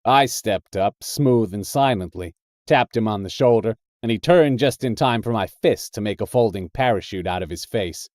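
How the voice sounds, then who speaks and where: A man voicing a tough, thickset American drifter who narrates his own purgatory story in a deliberate, hard-boiled, colourful way. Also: fairly low pitch, expressive, darkish tone, no echo, clean studio sound, natural voice. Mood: brooding, deliberate. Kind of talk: dramatic first-person short story narration.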